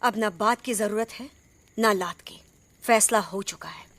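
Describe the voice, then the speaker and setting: Resigned female animal leader, mid pitch, firm, grave, decisive, animated film drama. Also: a little slow, no echo.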